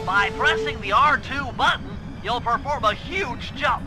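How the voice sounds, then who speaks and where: A man's voice: very high-pitched, unhurried. A man voicing a nerdy, earnest young turtle strategist in a cartoon game, with precise, wordy delivery. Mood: earnest briefing. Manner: precise, wordy technical briefing. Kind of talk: video game cartoon voice acting. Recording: loud game music behind.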